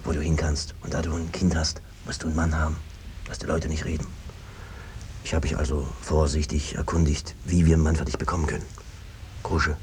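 An actor playing an evasive, henpecked peasant brother who talks around things in a cajoling, hesitant way, in a 1950s radio drama style. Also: male voice, rumbling low, darkish tone. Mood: scheming, persuasive. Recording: noisy old 1950s radio recording.

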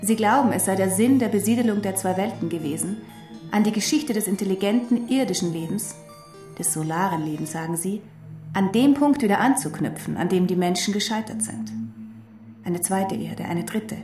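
An actress in a German radio drama playing a knowing teacher who explains history in a deliberate, emphatic way. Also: female voice, mid-range voice, echoey room, natural voice. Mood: grave, earnest. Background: music.

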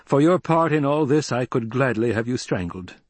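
A man voicing a defiant, coldly angry young heir in a forceful, imperious, declamatory way. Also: mid-range voice, animated, normal speed, no room sound, pitched above the performer's own voice, close to an everyday voice. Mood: commanding fury.

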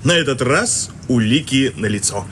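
An actor playing a stern, officious police inspector in a radio play, curt and commanding. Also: male voice, mid-range voice, animated, moderate pace, a bit bright, a little hiss or hum, close to an everyday voice.

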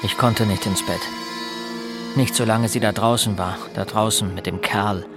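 A man's voice: lowish voice, steady tone, normal speed, natural voice. An actor playing a teenage boy who narrates grim events in the first person in a radio drama, sounding unsettled. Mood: uneasy, subdued. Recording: loud music bed under the voice.

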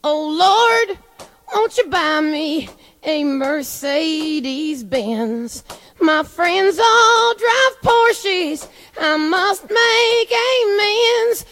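A woman singing a song slowly, in a theatrical performance. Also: very high voice, lively intonation, a bit bright, soft background music. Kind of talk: slow singing.

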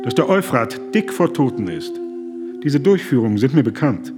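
A man's voice: medium pitch, big pitch swings, normal speed, over loud music, lightly acted. An actor in a radio drama playing an impassioned German humanitarian who pleads earnestly and formally with a man in power. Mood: urgent, indignant.

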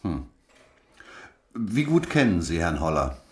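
An actor playing a brisk police investigator in a radio drama, asking terse, probing questions. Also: male voice, deep voice, big pitch swings, full character voice. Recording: roomy echo, faint noise.

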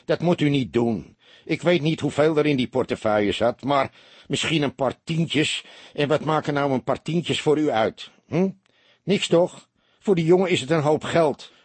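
A thin, streetwise barkeeper acted by a male audiobook reader with a husky voice and swooping pitch. He is wary and grumbling, wheedling in slangy speech, and the sound is close and dry. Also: big pitch swings, a little slow, a little mellow, no echo. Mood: pleading.

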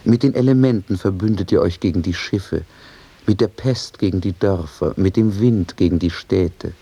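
An actor playing a stern, reproachful god in solemn, rhetorical radio-play declamation. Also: male voice, low-pitched, normal speed, dark tone, breathy edge, no room sound. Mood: accusing. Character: stern, reproachful messenger god scolding mankind. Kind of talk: classical comedy radio play acting.

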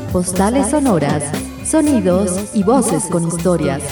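A woman's voice: lowish voice, sing-song, measured pace, over music. A woman reading a scripted radio introduction as program announcer.

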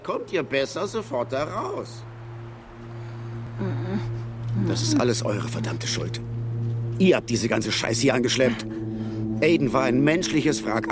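An actor playing a bitter, exasperated male accomplice who scolds bluntly and curses in a German radio crime drama. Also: medium pitch. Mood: bitter, threatening. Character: bitter, exasperated war-veteran accomplice, a gruff man.

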